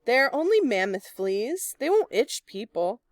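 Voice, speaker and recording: A woman's voice: on the high side, big pitch swings, measured pace, no room sound, clean studio sound. A woman voicing an earnest young child fondly defending a pet in a storybook.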